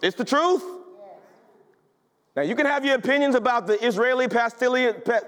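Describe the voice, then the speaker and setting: A man's voice: very high voice, brisk, a bit bright, clear, resonant tone. A male pastor delivering a sermon in a church, talking in an emphatic, conversational way and asking the congregation questions. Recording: long hall reverb.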